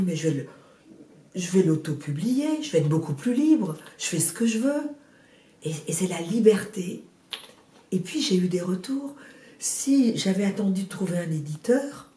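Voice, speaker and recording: A woman in her sixties with a cold, chatting in an interview about her first novel, hesitant with many fillers and restarts but lively in bursts. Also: medium pitch, echoey room, far from the mic. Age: 60s. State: has a cold. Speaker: guest, novelist. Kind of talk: casual interview chat.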